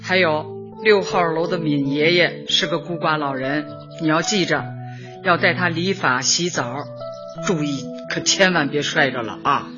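A woman playing a warm older community Party secretary in a radio drama, chatty and homely, often chuckling and repeating herself. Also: deep voice, unhurried, muffled recording, music in the background. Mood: attentive, brisk. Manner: chuckling, hesitant fillers, repeats words in homely colloquial talk.

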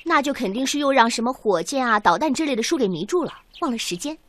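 An actress playing a caring, teasing young woman researcher in a Chinese radio drama, expressive and affectionate. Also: high voice, fast, no room sound. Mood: impatient, pleading. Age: young adult.

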